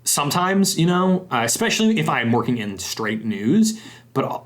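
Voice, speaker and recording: A man's voice: on the high side, big pitch swings, normal speed. Young man hosting a casual, rambling interview. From Southern United States. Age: young adult. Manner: rambling, self-correcting. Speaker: podcast host, interviewer.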